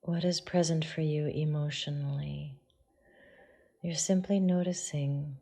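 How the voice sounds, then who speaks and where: A woman teaching yoga meditation, guiding listeners in a soft, hushed delivery with pauses. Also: low-pitched, steady tone, gravelly. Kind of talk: guided meditation.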